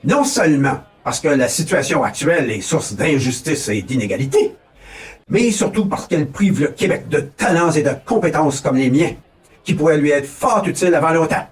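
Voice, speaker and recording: A man's voice: a little high, animated, normal speed, recorded from a distance. Québécois man giving a comic mock campaign speech. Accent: Québécois French. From Quebec. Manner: folksy, mock-solemn, keeps tossing in a rising "ok, là?". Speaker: satirical mock candidate. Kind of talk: comic political address.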